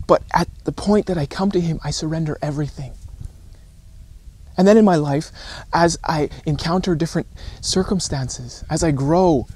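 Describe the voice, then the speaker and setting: A man in his thirties preaches informally to camera in a voice a little higher than average. He is earnest and deliberate, pausing often and repeating phrases for emphasis. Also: dark tone. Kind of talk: informal recorded sermon to camera. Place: outdoors on a rocky shore by calm water.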